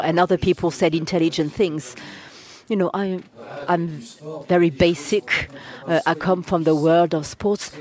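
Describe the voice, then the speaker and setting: A conference interpreter giving a simultaneous rendering of audience remarks, pausing often with fillers and restarting phrases. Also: mid-range voice, darkish tone. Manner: hesitant, frequent uh fillers and restarts.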